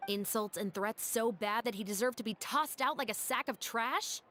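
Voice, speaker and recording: An actress playing a formal, teasing, stern young investigator in a video game, speaking in a reproachful manner. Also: female voice, fairly high pitch, moderate pace, sharp tone, slight background noise.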